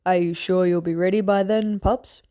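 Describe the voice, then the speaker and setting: A woman voicing a gruff middle-aged male warrior who grumbles but is tender and fatherly. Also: lowish voice, steady tone, moderate pace, mellow, natural voice. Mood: gruff, fond. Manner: grumbling, rough-spoken, affectionate.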